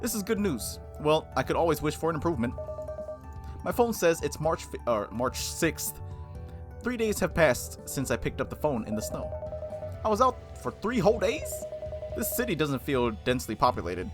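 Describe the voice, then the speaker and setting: A gaming host reading visual novel text aloud and commenting on it casually, in an animated, playful, slangy way. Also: medium pitch, moderate pace, over music.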